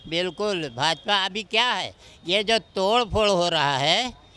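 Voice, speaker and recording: A man with a high, piercingly bright voice. He speaks as a local resident in a street interview. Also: animated, a little slow, clean tone. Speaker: member of the public.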